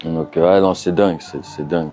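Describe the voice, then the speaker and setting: A man's voice: deep voice, fairly even intonation, brisk, mellow, faint music behind. A man in his thirties from France, a professional fighter, answering interview questions casually, with many fillers and restarts. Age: 30s. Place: fight event venue.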